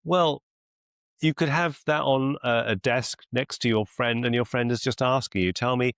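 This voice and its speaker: A man coaching one-to-one on a video call as a mentor, deliberate and encouraging, often repeating phrases for emphasis. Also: mid-range voice, fairly bright tone, no echo, clean studio sound. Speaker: business mentor and clinician.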